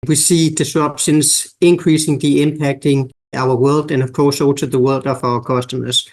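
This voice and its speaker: A man with a Danish accent, a guest expert on a podcast interview, explaining in a measured way and listing examples. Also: mid-range voice, fairly even intonation, a little mellow. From Denmark.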